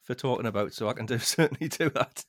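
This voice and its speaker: A man's voice: mid-range voice, quick pace, a bit bright. A man speaking as a podcast guest, casually discussing a book in an informal, explanatory way.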